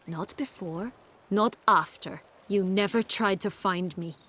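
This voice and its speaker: A proud, wounded noblewoman in exile from a video game. She speaks slowly in a mid-range voice, with a deliberate, cutting bitterness. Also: mid-range voice, pushed and bright. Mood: resentful, hurt. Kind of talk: video game cutscene acting.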